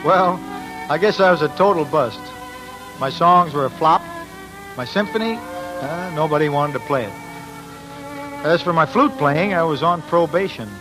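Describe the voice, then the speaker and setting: A man's voice: fairly high pitch, normal speed, pauses often, lightly acted, low-quality recording. A man acting an earnest, insecure young American musician who tells his own story in a 1940s radio drama. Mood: resigned. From United States. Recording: old radio broadcast with music.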